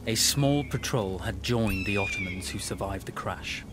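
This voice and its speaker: A man playing a composed British officer, narrating a desert war in a measured, solemn way for a video game. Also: lowish voice, over music, lightly acted. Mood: calm, knowing. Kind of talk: scripted dramatic narration.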